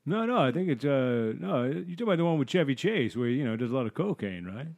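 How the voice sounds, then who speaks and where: A man in an improvised comedy bit, hesitant and talking in quick restarts. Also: medium pitch, big pitch swings, a little mellow.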